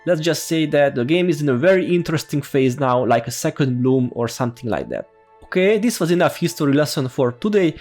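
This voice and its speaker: A man's voice: on the high side, darkish tone. A man with a strong Hungarian accent, giving a friendly, informal game tutorial as a voice-over. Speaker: gaming YouTuber.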